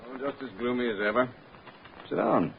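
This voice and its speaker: An actor playing a gloomy but dryly genial prison warden with a familiar manner, in a tinny vintage radio drama. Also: male voice, medium pitch, animated, a little fast, gravelly, slight background noise. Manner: dry, familiar, glum humour. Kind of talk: old-time radio drama acting.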